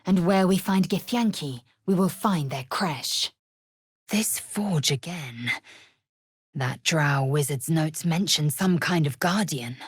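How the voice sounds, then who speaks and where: A haughty, militant young alien warrior woman for a video game. Her voice is low, husky and breathy, recorded close and dry. She speaks slowly and formally, with imperious, clipped disdain. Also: dry recording, full character voice. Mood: stern, haughty.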